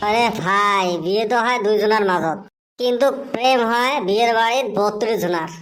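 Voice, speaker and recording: A man voicing a cheeky, stubborn, thrifty young village lad in a cartoon comedy, with a pitch-raised voice and a northern Bengal and western Assam accent. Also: moderate pace, a bit bright. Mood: boastful. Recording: clear background noise.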